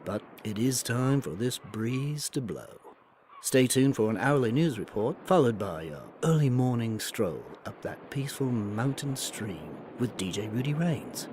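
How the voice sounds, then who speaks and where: A man's voice: mid-range voice, animated, unhurried, a little mellow, natural voice. A man playing a breezy late-night ambient radio DJ with easygoing, soothing, folksy patter. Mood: mellow, breezy. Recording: clear ambient noise under voice.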